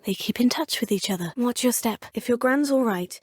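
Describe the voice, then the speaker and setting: A woman's voice: on the high side, brisk, dry recording, clean studio sound. A woman playing an earnest, determined young female wizard student in a video game, sometimes whispering to herself. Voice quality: husky, often whispering to herself. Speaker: player character.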